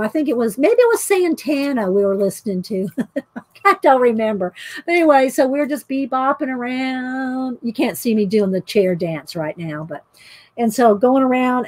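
A woman's voice: sits high, animated, moderate pace, a little mellow, dry recording. A middle-aged woman, chatting and laughing often as she tells a story while painting. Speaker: painting vlogger. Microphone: overhead camera mic, speaker off screen.

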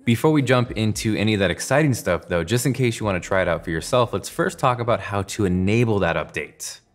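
A man's voice: on the low side, animated, fast. A man in his thirties with an American accent, hosting a scripted tech explainer. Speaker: tech reviewer and video host. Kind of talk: scripted explainer narration to camera.

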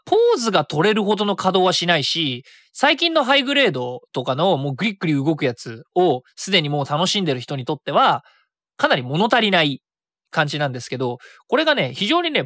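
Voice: medium pitch, fairly bright tone, no room sound, clean studio sound. A radio host chatting casually and solo about a hobby, thinking aloud and self-correcting along the way.

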